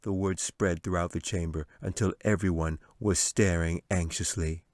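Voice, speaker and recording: A man reads a fantasy story aloud in a low voice, recorded close and dry. His delivery is dramatic and clearly scripted, in the manner of a storybook narrator. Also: darkish tone, no echo. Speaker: author reading his audiobook.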